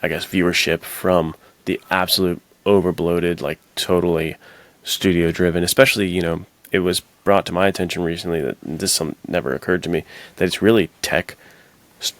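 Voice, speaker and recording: A man with a low voice talks casually as a podcast co-host. There is a thick gravelly creak in his delivery. His speech is informal and slangy, like a conversation between friends. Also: steady tone, moderate pace, no room sound. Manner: informal slangy talk, says dude and like often. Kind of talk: casual film discussion chat.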